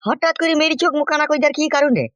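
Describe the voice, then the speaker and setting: A man's voice: very high-pitched, very fast, fairly bright tone, dry recording. A man voicing a nosy, exasperated village uncle in a comic cartoon, impatient and questioning, with a rural West Bengal accent. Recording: dull lo-fi sound.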